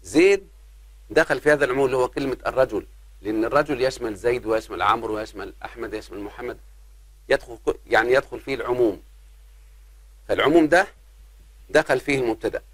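A man, teaching an Arabic grammar lesson. He talks patiently, addresses his students as his children and slows down on examples. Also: medium pitch, husky, no room sound. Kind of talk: grammar lesson explaining verse.

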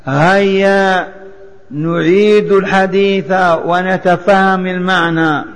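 A man's voice: sits high, fairly even intonation, takes it slowly. A man teaching a religious lesson, chanting the text in a sing-song and having it repeated. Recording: long hall reverb.

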